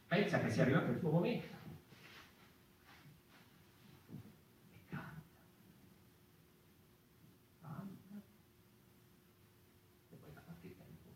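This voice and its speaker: A weary, agitated speaker for a people of mice in a stage monologue. The delivery is declamatory and halting, circling back on words, with wide swings in pitch and a bright, forceful edge. It is heard at a distance in a heavily reverberant space. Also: male voice, full character voice. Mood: grave, hushed. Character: weary, agitated male member of a mouse people. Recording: very echoey hall, distant, faint noise.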